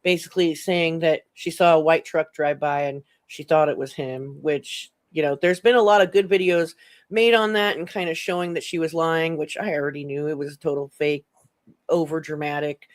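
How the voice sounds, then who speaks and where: A woman's voice: deep voice, steady tone, moderate pace. A woman hosting a commentary channel, talking casually and bluntly with a colloquial, mocking edge.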